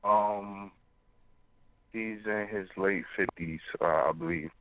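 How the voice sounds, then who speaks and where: A man in his twenties from the United States calling in to a talk show by phone, speaking haltingly and hesitantly as he asks for advice. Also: low-pitched, fairly even intonation, slight background noise. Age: 20s. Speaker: caller. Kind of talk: phone-in conversation seeking advice. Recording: tinny narrow phone line.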